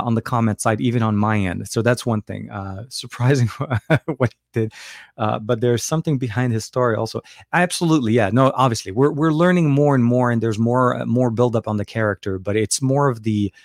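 A man from Lebanon hosting a casual livestream, chatting in a rambling, halting way with many restarts and fillers. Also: medium pitch, no room sound, clean studio sound. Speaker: livestream host.

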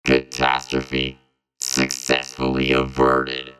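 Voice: monotone, slow pace, loud music behind the voice. An actor playing a formal, emotionless time-traveling robot in an audio drama, with an electronically processed voice. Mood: flatly grave. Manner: formal, literal, emotionless delivery. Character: time-traveling robot sent from a ruined future, coolly formal. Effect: robot voice processing, pitched very low.

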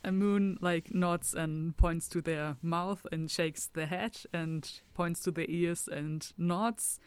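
A man playing a tabletop game, talking quickly and hesitantly with restarts. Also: a little high, fairly even intonation, clean tone, no room sound. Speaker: player. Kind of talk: casual tabletop roleplay chat.

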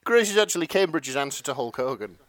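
A man's voice: a little high, animated, fast talker, a bit bright, dry recording. A man co-hosting a casual comic podcast. He teases playfully and breaks into goofy outbursts. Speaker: podcast co-host.

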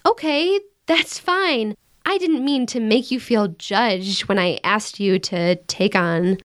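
A woman's voice: medium pitch, takes it slowly, close to an everyday voice. A woman acting a dejected, self-critical young woman of twenty-one in a modern audio drama, dramatic and blunt. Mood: resigned, hurt.

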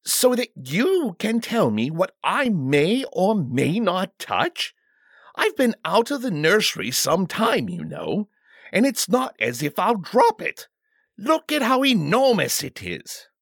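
A man's voice: mid-range voice, wildly swooping pitch, a little slow, slightly rough, no room sound, clean studio sound, full character voice. A man voicing a flustered, petulant and curious king in a storybook, with a fussy, pleading manner. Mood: indignant.

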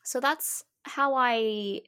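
Theatre-artist interview guest: a woman whose mother tongue is Mandarin, reflective and unhurried, restarting phrases as she thinks. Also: fairly high pitch, bright, forceful tone, clear, resonant tone. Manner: thoughtful, with frequent fillers and repeated words. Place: Vancouver, Canada.

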